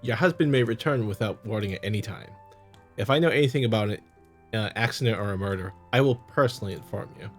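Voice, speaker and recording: A man voicing a formal, brisk and reassuring investigator in a measured way, for a visual novel. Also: lowish voice, natural voice. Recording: music playing behind.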